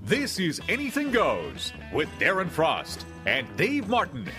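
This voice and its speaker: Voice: medium pitch, huge pitch swings, measured pace, full character voice. A man reading a punchy radio show promo. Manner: punchy announcer delivery. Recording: promo over loud music.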